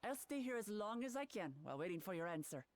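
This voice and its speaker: A woman's voice: mid-range voice, very animated, fast talker, lightly acted. Young royal heir played by a woman, game cutscene. Earnest, resolute, plain-spoken, mid-range. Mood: earnest, determined. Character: earnest, spirited young princess seeking her father's throne. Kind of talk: video game cutscene acting.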